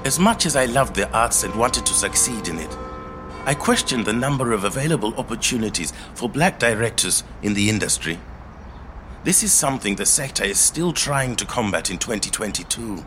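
Expressive, reflective middle-aged Zimbabwean man. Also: medium pitch. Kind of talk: scripted autobiographical monologue, storytelling.

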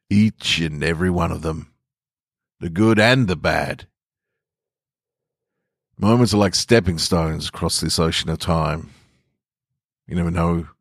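A man's voice: deep voice, no room sound, clean studio sound. A man voicing a blunt, folksy, philosophizing bar regular in a short story reading. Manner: folksy, emphatic, swearing barfly monologue.

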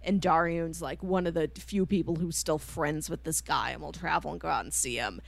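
A woman's voice: low-pitched, normal speed, creaky. A woman co-hosting a casual discussion, retelling a story in a chatty way and acting out the characters' lines. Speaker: co-host of a manga discussion show. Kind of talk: casual book discussion with spoilers.

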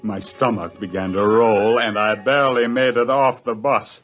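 An actor in an old radio drama playing a hard-pressed, take-charge scientist, speaking with dramatic force. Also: male voice, lowish voice, steady tone, normal speed, a bit bright, close to an everyday voice. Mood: grim narration. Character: hard-pressed, take-charge male scientist narrating his own ordeal.

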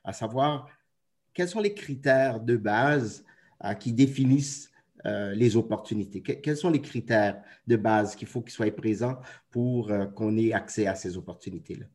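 Give me a man moderating a radio panel discussion. He speaks in a fairly low voice and keeps things relaxed, with a few hesitations as he hands over the floor. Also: moderate pace.

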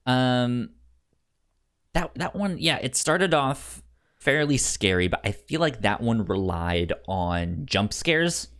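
A man co-hosting a casual film discussion podcast, halting and repeating words as he works out his opinions. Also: medium pitch, big pitch swings, fairly bright tone. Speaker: podcast co-host reviewing a film.

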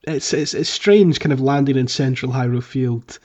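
A man's voice: medium pitch, moderate pace, mellow. A man hosting a podcast and chatting casually about a video game. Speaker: podcast host. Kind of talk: casual gaming podcast chat.

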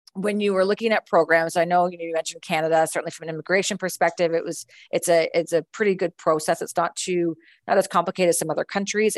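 A woman's voice: fairly low pitch, no room sound. A woman recruiter hosting an online information session, friendly and chatty with many fillers and restarts. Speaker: admissions recruiter and webinar host.

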